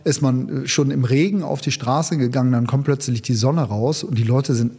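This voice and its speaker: A man in his fifties, born in northern Italy and raised in Germany, telling lively stories as a musician guest in an interview. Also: mid-range voice, breathy edge. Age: 50s. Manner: lively anecdotal storyteller, quick bursts. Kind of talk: casual storytelling interview.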